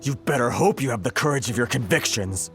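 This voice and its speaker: A man's voice: medium pitch, animated, a little fast, music in the background, lightly acted. An actor playing a nervous, elderly male merchant in a video game, flustered and stammering. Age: older.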